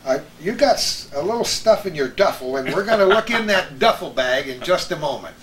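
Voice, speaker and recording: A man's voice: a little high, animated, a little fast, a bit bright. An older man hosting a casual chat of reminiscences among friends. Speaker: host of a reminiscence show. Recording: roomy, distant, faint background noise.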